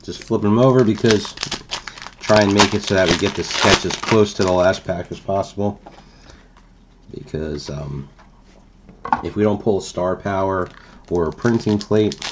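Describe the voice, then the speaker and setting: A man hosting a trading card break, hoarse from a cold, explaining the set in a relaxed way with many fillers. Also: low-pitched, moderate pace, pauses often, heavy background noise. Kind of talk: casual card-opening commentary. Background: crinkling wrappers and clicks.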